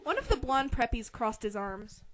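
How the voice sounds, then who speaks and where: A woman's voice: fairly high pitch, sing-song, moderate pace, a bit bright. A woman narrating a novel as an informal amateur dramatic reading.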